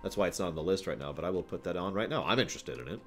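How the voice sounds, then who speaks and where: A man's voice: low-pitched, lively intonation, very fast, music in the background. A man hosting a livestream, chatting casually and digressively with emphatic stresses as he gives his opinions. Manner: digressive, talks to live chat, emphatic stresses. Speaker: livestream host. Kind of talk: casual rambling stream chat, opinion commentary.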